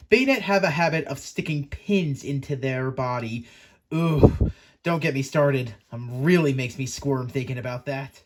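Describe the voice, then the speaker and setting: A man hosting a scripted creature-lore video, narrating in a chatty, theatrical storytelling manner that speaks straight to the listener. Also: on the high side.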